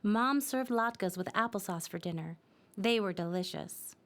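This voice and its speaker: A woman narrating a scripted children's story in a simple, expressive storytelling manner. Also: mid-range voice, unhurried.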